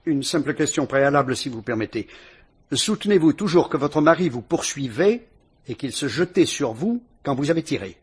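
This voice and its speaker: A measured, ironic police commissioner. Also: male voice, a little high, lively intonation, slightly rough. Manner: measured, deliberate and politely ironic. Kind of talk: vintage radio drama acting.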